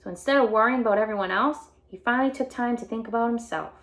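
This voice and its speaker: A woman's voice: a little high, fast talker, fairly bright tone. A woman reading aloud to young children as a storyteller, explaining the book in simple, repetitive phrases. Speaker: read-aloud teacher or storyteller.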